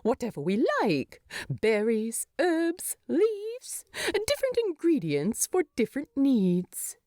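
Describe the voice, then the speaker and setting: A woman's voice: sits high, huge pitch swings, takes it slowly, mellow, dry recording, clean studio sound, voice pushed up, exaggerated voice. Woman doing fussy proud hobbit guy from a storybook, prim n chatty, voice bouncing up and down all over. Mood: peeved, proud. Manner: prim, earnest explaining.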